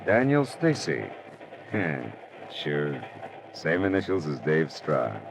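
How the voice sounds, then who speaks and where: A man's voice: rumbling low, big pitch swings, slow, vocal fry, noisy background, exaggerated voice. An actor playing a wry, world-weary male private detective in 1940s radio drama style, terse and sardonic. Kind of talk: 1940s radio drama acting and first-person narration. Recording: vintage radio recording with prominent music.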